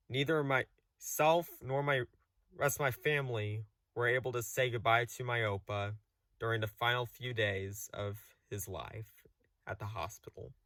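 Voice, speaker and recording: A young man giving a solemn, formal and deliberate personal account. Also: medium pitch, a bit bright, no room sound.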